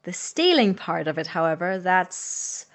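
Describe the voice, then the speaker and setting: A man's voice: high voice, big pitch swings, measured pace, fairly bright tone, exaggerated voice. A man playing an anxious, sarcastic young medical student, a reluctant accomplice, in a naturalistic audio drama. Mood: uneasy, factual. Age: young adult. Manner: sarcastic, exasperated.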